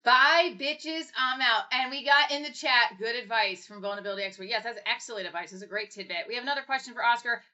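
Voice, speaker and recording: A woman's voice: a little high, quick pace, hardly any pauses, pushed and bright. A woman hosting a casual livestream chat, asking loose, rambling questions with a self-deprecating streak. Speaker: livestream host. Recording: dull lo-fi stream audio.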